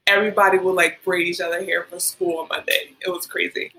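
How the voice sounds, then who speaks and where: A woman's voice: on the low side, little pitch movement, fast, gravelly, bright, forceful tone, rough recording. A woman who grew up in Liberia, a hair stylist as guest on a podcast, talking casually with many ums and likes. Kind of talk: casual interview.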